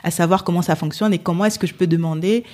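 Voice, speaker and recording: Woman, 30s, from Gabon; halting, conversational interview guest. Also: fairly low pitch, fairly even intonation, darkish tone. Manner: hesitant, many restarts. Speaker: guest lawyer. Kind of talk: casual interview chat.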